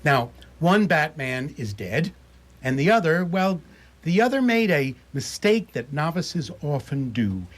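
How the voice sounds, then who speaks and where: A man announcing for a radio theatre, giving a scripted recap with playful dramatic pauses. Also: a little high, sing-song.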